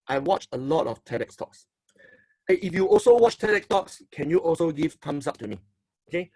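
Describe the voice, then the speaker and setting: A man's voice: high voice, a little mellow, dry recording, poor audio. A middle-aged man from Singapore with a Singaporean English accent, giving an online seminar talk as a motivational speaker and coach. He speaks emphatically, repeats phrases and often ends sentences with "okay?". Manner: emphatic, repeats phrases, asks for likes and applause.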